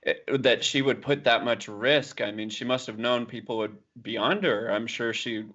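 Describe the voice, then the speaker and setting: A man's voice: medium pitch, normal speed, a bit bright. A man hosting a podcast, asking questions and reacting in a casual chat. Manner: asks questions and reacts briefly. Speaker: podcast host. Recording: dull lo-fi podcast audio.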